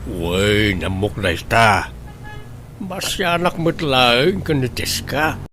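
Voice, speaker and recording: A man's voice: medium pitch, slow, noisy background, lightly acted. A man playing a cautious but accepting father of a grown son in a radio drama. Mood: worried, accepting.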